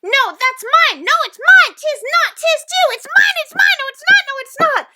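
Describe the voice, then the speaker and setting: A woman's voice: very animated, moderate pace, heavy background noise. A woman voicing bossy, whiny young sisters squabbling in a storybook. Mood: indignant squabbling. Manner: shrill squabbling.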